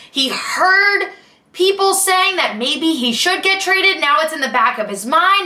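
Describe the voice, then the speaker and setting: A young woman giving casual, opinionated sports commentary in a chatty, expressive, emphatic way with slangy asides, recorded in an echoey room at home. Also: high-pitched. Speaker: sports vlogger and podcast host.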